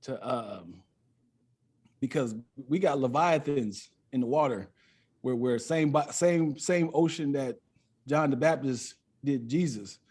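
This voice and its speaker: A man's voice: medium pitch, slow, a little mellow, breathy edge. A man chatting casually as a comedian guest on a podcast, playful and joking, using plenty of slang.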